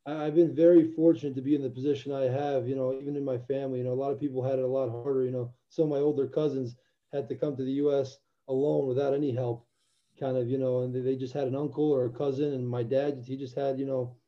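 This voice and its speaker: A man's voice: mid-range voice, flat delivery, quick pace. Young man from Albania, earnest interview guest; muffled remote audio. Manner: earnest, reflective, many restarts. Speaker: interview guest, former football player. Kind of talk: casual interview.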